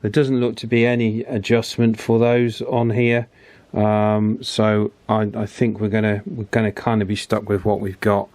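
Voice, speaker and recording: A man's voice: fairly low pitch, little pitch movement, normal speed, darkish tone, no echo. A man giving casual, unscripted commentary as he works on an electronics repair, chatty and fond of tag questions. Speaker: host of an electronics repair channel. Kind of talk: casual hands-on repair commentary, talking while working.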